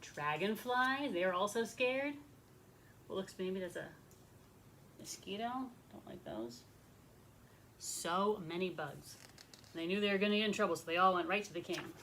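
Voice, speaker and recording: A woman's voice: mid-range voice, animated, normal speed, pauses often, a bit bright, slight background noise. A woman leading a children's story time, narrating expressively and chatting with young listeners in simple words. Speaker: rabbi telling stories to children.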